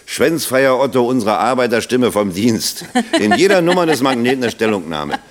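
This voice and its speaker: An actor in a German radio play playing a kindly, grumbling older locksmith who talks plainly and colloquially. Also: male voice, medium pitch, animated, normal speed, lightly acted. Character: kindly, grumbling, self-deprecating older male factory locksmith.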